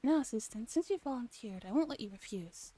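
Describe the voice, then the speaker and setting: A woman's voice: a little high, animated, brisk, warm tone. A woman streamer doing a playful, self-deprecating ASMR read-along of a visual novel. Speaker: amateur streamer.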